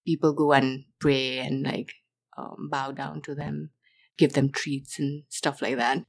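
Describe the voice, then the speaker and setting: Woman from Nepal, young adult, low voice, chatty with many restarts. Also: level tone, measured pace, gravelly. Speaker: interview guest. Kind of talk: casual interview chat.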